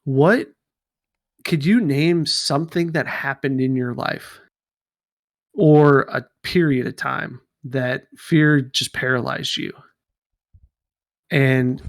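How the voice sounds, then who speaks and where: A man's voice: medium pitch, creaky, no echo, studio quality. A man in his thirties co-hosting a podcast, talking casually with many fillers and restarts. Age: 30s. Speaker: podcast co-host.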